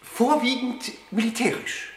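An actor playing an officious insurance minister in a satirical radio play, reciting statistics in a formal, declamatory way. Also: male voice, very high voice, fairly even intonation, unhurried, echoey room, long reverb tail.

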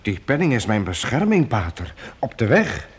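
A man's voice: lowish voice, wildly swooping pitch, normal speed, breathy edge, heavily acted. A humble wandering journeyman. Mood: earnest. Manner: modest, earnest.

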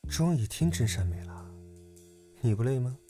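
A young man playing a band leader and singer, casual and sharp-tongued, given to teasing. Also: medium pitch, animated, normal speed, soft background music, lightly acted. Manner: mocking, casual.

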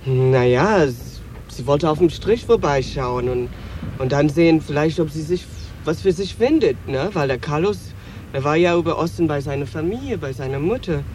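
Male actor as brash, high-voiced street queen. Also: lively intonation, normal speed, close to an everyday voice. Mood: guarded, reflective. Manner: sharp, brash, emotional. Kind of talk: radio drama acting. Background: music, traffic and street sounds.

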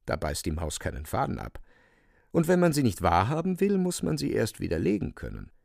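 An actor narrating a satirical story for a radio play in an ironic, theatrical, emphatic manner. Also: male voice, medium pitch, wildly swooping pitch, brisk, gravelly, a little mellow, no room sound, studio quality, exaggerated voice. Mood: wry, ironic. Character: wry, theatrical male storyteller of satirical novel.

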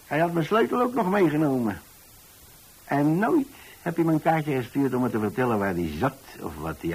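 A man's voice: medium pitch, husky, low-quality recording. An actor playing an older, plain-spoken pensioner in a radio drama, telling his story in a hesitant, repetitive, folksy way. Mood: wistful, puzzled. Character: rambling, plain-spoken old pensioner who takes in lodgers. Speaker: narrator of a radio play.

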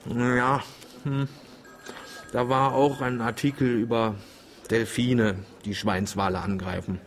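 A tour boat skipper in a radio play. He is a good-natured, wry sort who shies from conflict. His voice is natural and medium in pitch. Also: level tone, takes it slowly, slight background noise. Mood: subdued, matter-of-fact. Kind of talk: German radio drama acting.

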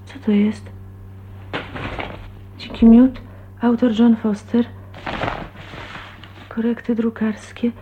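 An actress playing a shy, heartbroken young woman in a radio drama, often murmuring to herself in a hushed, breathy way. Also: on the high side, level tone, takes it slowly, long pauses. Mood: curious. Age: young adult. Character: shy, heartbroken 29-year-old woman leaving her husband. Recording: tinny, band-limited 1980s radio recording, hissy.

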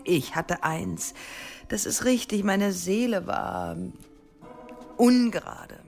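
An actress playing a vain, deranged artist killer in a radio crime drama, cool and eerily assured. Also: female voice, mid-range voice, slow pace, gravelly, a little mellow, soft background music. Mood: calm, menacing.

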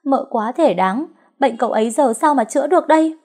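A sharp-tongued young woman who shrieks and wails to get her way, played by a female storyteller. Her voice sits high and loud, strained with complaint. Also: lively intonation, fairly quick. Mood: wailing. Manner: shrill, wailing. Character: young scheming concubine, whiny and sharp.

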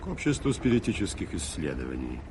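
An actor playing a well-informed, confiding guide in an articulate, theatrical 1980s Soviet radio play style. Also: male voice, low-pitched, sing-song, fast, a little mellow. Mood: polite. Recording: hissy old radio drama recording.

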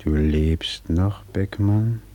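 An actor playing an ominous, accusing one-legged giant in a 1940s radio play, slow and menacing. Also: male voice, low-pitched, warm tone.

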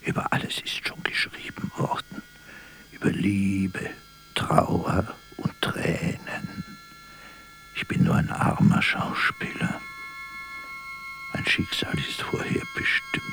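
Slow, low-voiced, anguished stage actor. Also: male voice, level tone, gravelly, in a whisper, exaggerated voice, rough recording. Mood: sorrowful, hushed. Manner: declamatory, poetic, swinging from hushed murmur to impassioned outcry. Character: anguished, self-doubting male stage actor, melancholy and theatrical. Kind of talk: theatrical radio drama monologue.

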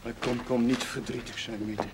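An actor playing a kindly, slightly flustered village chaplain in a television drama, gentle and reassuring. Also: male voice, mid-range voice, little pitch movement, normal speed, lightly acted. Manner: gentle, reassuring, a little hesitant. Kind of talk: naturalistic television drama acting. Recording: faintly noisy old TV soundtrack.

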